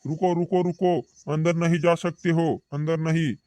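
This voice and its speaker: Man as officious high-voiced cartoon hospital guard. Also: little pitch movement, normal speed, warm tone, no room sound, lightly acted. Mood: firm, blocking. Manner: repetitive, explanatory. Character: officious but soothing male hospital door guard. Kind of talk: amateur cartoon comedy acting.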